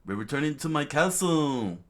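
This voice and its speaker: A man acts out a vain, greedy storybook king. He is pompous and whiny, pitched well above the performer's own low voice and delivered loudly, as if every line were a royal decree. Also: a little slow, lightly acted. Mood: furious. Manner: pompous, shouting. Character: vain, gluttonous tyrant king, bombastic and whiny.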